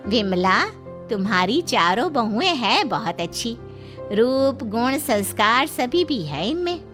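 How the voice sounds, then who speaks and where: A woman's voice: fairly high pitch, very animated, slow pace, a bit bright, soft background music, full character voice. A woman voicing a chatty, admiring older aunt with a gushing manner in a cartoon moral story. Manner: gushing, complimentary.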